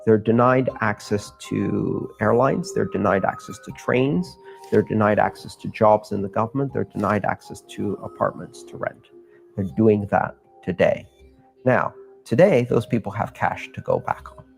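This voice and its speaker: A man's voice: lowish voice, moderate pace, creaky, soft background music. A man who writes and speaks publicly, giving an argumentative talk and building his points by listing them.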